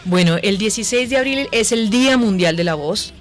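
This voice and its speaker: A woman's voice: medium pitch, lively intonation, brisk. A Colombian woman giving explanatory answers as a voice-training expert in a radio interview. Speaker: voice center director, guest. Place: radio station booth.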